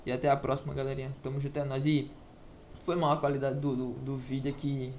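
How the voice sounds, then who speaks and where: A mid-pitched man delivers a casual, preachy self-help talk and keeps asking his listeners if they follow. His voice sits in an ordinary middle range. A faint background hiss runs under the recording. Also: monotone, fast talker, a little mellow. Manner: casual, preachy, lots of rhetorical questions. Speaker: self-help channel host. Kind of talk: motivational monologue to camera. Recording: faint background noise, lo-fi app.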